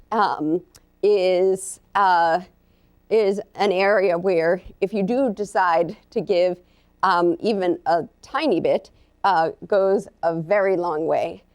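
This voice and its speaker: A woman professor giving a live lecture to an audience, earnest and halting, with many ums and uhs. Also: on the low side, darkish tone. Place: lecture room.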